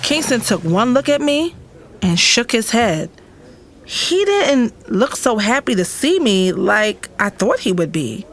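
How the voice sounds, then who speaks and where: A woman's voice: a little high, very animated, slow, heavily acted. A woman with an American accent playing an emotional, insistent young girlfriend in an audio drama. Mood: reflective. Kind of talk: first-person dramatic narration and dialogue in audio drama.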